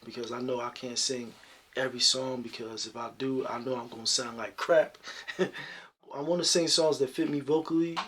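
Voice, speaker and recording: A man with a deep talking voice, answering interview questions about his singing in a relaxed, reflective way. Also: normal speed, slightly rough. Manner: relaxed, reflective, many "you know" fillers. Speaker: singer guest.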